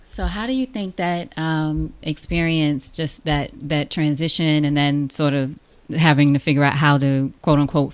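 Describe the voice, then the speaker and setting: A woman's voice: low-pitched, no room sound. A woman hosting an interview, asking questions and affirming the guest often with mm-hmms. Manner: warm affirming, frequent mm-hmms. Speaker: podcast host.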